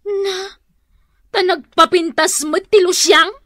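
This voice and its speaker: A woman's voice: extremely high pitch, steady tone, takes it slowly, sharp tone, no echo, clean studio sound, full character voice. A woman with an Ilocano accent playing a proud, combative, complaining housewife in a radio drama. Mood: griping. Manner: indignant, complaining.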